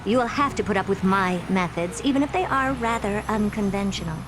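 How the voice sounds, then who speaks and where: An actress voicing a haughty, playful genius researcher in a video game, with a condescending, commanding and theatrical manner. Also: female voice, medium pitch, a little fast, background noise, lightly acted. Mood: smug, explanatory.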